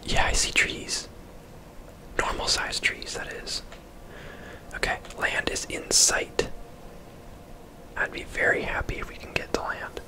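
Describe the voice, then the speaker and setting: An ASMR video host whispering casual, rambling gameplay commentary in a breathy, unvoiced voice. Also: a little slow, long pauses, rough recording. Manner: soft-spoken, rambling, casual.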